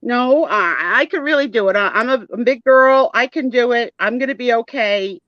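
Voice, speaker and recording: A woman's voice: on the high side, moderate pace, no room sound, highs cut off, pitched above the performer's own voice, lightly acted. A woman voicing an anxious, clingy, girlish grown woman in a comic skit, plaintive and emphatic, repeating herself. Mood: scared, pleading.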